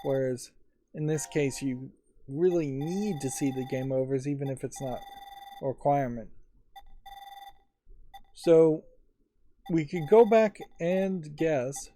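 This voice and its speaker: A man's voice: a little high, slow, pauses often, mellow, slight background noise. A man hosting a let's play, giving casual game commentary in a musing, analytical way.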